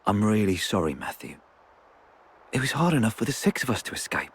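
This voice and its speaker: Husky, earnest comrade. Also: male voice, on the low side, sing-song, fairly quick, full character voice. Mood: earnest, reassuring. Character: earnest, reassuring male comrade bringing news. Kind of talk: video game cutscene acting.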